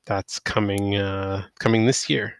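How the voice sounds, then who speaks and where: A man speaks low and flat, with a creaky edge to his voice. He co-hosts a gaming podcast in a casual chatting style, recorded dry. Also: measured pace. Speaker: podcast co-host. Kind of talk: casual video game podcast chat.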